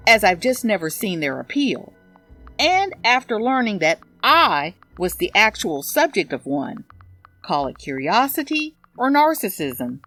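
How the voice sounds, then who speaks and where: Woman playing a petty, indignant ranter, very animated. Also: medium pitch. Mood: wry, defensive. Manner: sardonic, self-righteous, emphatic. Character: petty, indignant woman posting a social media rant. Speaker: narrator, audio fiction reader. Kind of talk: scripted first-person comedy monologue.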